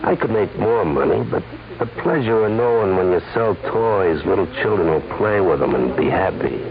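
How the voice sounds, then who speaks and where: An actor playing a suave, mocking gunman who keeps clearing his throat, in a 1940s radio drama. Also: low voice, expressive, normal speed. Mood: smooth. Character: smooth, cunning male gunman. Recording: tinny radio broadcast with heavy hiss.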